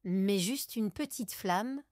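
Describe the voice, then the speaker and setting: A woman narrates a scripted story for children. Her pace is slow and her voice sits at an ordinary middle pitch. The sound is dry, with very little room around it. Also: a little mellow, dry recording.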